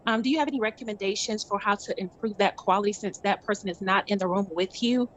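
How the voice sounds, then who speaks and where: A woman's voice: medium pitch, quick pace. A woman attending a workshop, politely asking the experts a question. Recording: dull, noisy online call audio.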